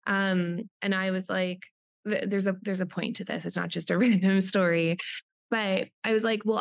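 A woman's voice: mid-range voice, no echo, clean studio sound. A woman whose first language is Polish, thinking aloud as a podcast guest and searching for words with many fillers. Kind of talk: podcast interview.

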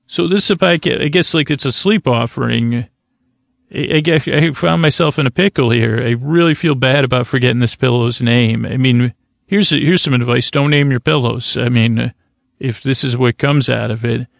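A man with a creaky voice hosting a bedtime podcast in a rambling, halting, stuttering, self-deprecating monologue. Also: mid-range voice, no echo, clean studio sound. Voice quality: creaky, gravelly. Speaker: podcast host.

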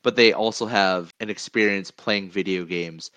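A man's voice: low-pitched, steady tone, normal speed, a bit bright, no room sound, lo-fi sound. A man co-hosting a casual podcast, talking in an enthusiastic, rambling way. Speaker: podcast co-host. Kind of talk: casual podcast chat about movies.

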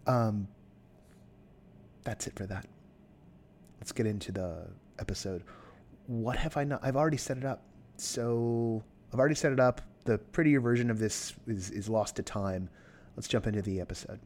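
A man's voice: on the low side, moderate pace, pauses often, a little mellow, a little hiss or hum. A man hosting a podcast in casual chat, rambling and self-deprecating with many restarts. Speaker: podcast host.

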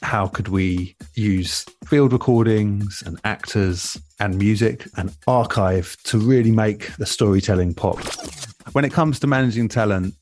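A man, an audio producer, giving reflective interview answers in a measured, thoughtful way with pauses mid-sentence. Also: fairly low pitch, a little mellow, faint music behind. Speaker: radio and audio drama producer, interview guest.

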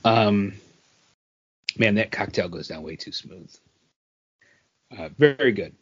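A man's voice: fairly low pitch, lively intonation, takes it slowly, husky, highs cut off. A man co-hosting a podcast, chatting casually and bluntly about what he has read, with some casual swearing. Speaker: podcast co-host reviewing comics. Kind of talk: casual chat.

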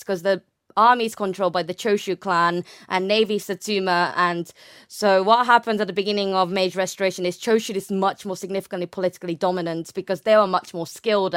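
Clear-voiced young woman explaining, close and dry. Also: medium pitch, a bit bright, no room sound. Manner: hesitant phrasing, frequent hedging and self-correction. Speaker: interview guest, naval history researcher. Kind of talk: conversational academic explanation.

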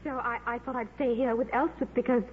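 A woman's voice: a little high, steady tone, fairly quick, phone-quality sound, natural voice. A woman playing a kindly, solicitous young airline stewardess in a 1950s radio drama. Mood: gentle, concerned. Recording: thin noisy 1950s radio recording, slightly reverberant.

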